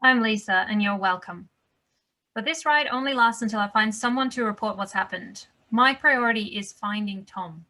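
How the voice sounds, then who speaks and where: A woman's voice: mid-range voice, moderate pace, bright, forceful tone, close to an everyday voice. A woman acting a headstrong, no-nonsense survivor, firm and curt, in a table read of a screen drama. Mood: brisk. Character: Lisa, headstrong, no-nonsense woman searching for someone missing.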